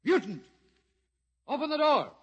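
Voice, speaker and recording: An actor in an old-time radio drama playing a hard, suspicious, scornful military lieutenant with a husky, commanding voice. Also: male voice, very high voice, big pitch swings, a little slow, a bit bright, lo-fi sound, heavily acted. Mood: barking orders. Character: hard, suspicious military lieutenant barking orders at his men. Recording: vintage radio broadcast transcription.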